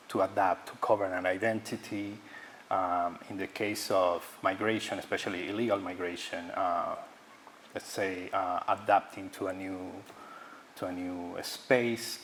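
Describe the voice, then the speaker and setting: Halting Ecuadorian-born man, low voice. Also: a bit bright, slight background noise. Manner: halting, searching for words, many fillers. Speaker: guest artist. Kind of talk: public artist talk. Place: auditorium.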